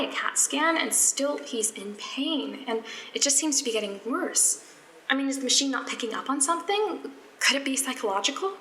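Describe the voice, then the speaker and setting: Anxious young intern, echoey and bright. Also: female voice, mid-range voice, slight background noise. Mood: frustrated, worried, then admiring. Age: young adult. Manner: rambling, halting, self-conscious, theatrical sighs. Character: earnest, anxious young female medical intern, self-doubting and chatty. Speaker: actor. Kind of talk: audio drama monologue as recorded diary.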